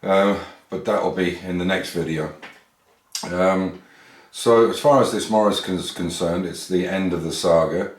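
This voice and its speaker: Deep-voiced Englishman in an echoey garage. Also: fairly even intonation, unhurried. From England. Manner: rambling, with frequent restarts and filler sounds. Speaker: classic car restorer and channel host. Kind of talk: casual workshop walkthrough. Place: garage workshop.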